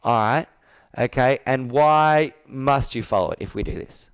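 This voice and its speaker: A man's voice: medium pitch, unhurried, smooth, dry recording. A man in his thirties working as a sales coach, asking direct, probing, persuasive questions in a conversational roleplay on a video call. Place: indoor room with whiteboard, on a video call.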